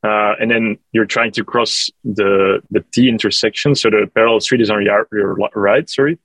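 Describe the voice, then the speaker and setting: Male company rep, lower pitch, hesitant explainer, dry sound. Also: fairly even intonation, fairly bright tone, no room sound, rough recording. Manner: hesitant, halting with many fillers and restarts. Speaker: app company representative answering questions. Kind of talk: casual call-in Q&A.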